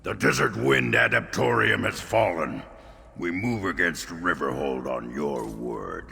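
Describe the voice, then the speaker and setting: Deep, gravelly menacing henchman. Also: animated, measured pace, sharp tone, heavily acted. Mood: grimly menacing. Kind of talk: fantasy video game acting. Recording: long echoing hall reverb.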